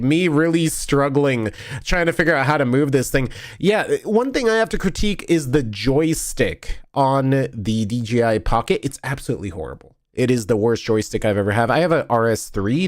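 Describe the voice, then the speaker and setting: A tired, sniffling man chats loosely to his stream audience and keeps restarting his sentences. His voice sits at a middle pitch but swings up and down in a lively way. The sound is dry and close, with no room around it. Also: no room sound. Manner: rambling, self-correcting, chatty with restarts. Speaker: vlogger streaming a convention video review. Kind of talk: casual live-stream chat while editing.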